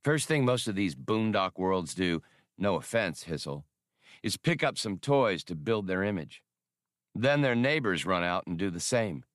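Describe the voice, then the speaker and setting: Brash male space-pilot smuggler , read by a male narrator, wry audiobook dialogue, lowish and animated. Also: unhurried, dry recording, clean studio sound. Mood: skeptical, wry. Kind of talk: audiobook character reading.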